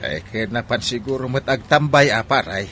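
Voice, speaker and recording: A man's voice: medium pitch, animated, normal speed, a bit bright, a little hiss or hum, lightly acted. A man playing a jovial, teasing young fellow with a Filipino accent in a radio drama. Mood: curious, jovial.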